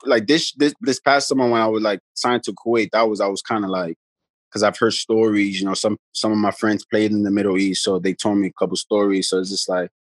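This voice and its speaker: A man, a guest telling stories in a relaxed, rambling way on a video call from his phone at home. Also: on the low side, brisk, no echo. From the Bronx, New York. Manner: laid-back, rambling, many "you know what I mean" tags. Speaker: guest, pro basketball player. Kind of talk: casual storytelling chat. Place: room at home, indoors. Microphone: phone camera held close on a video call.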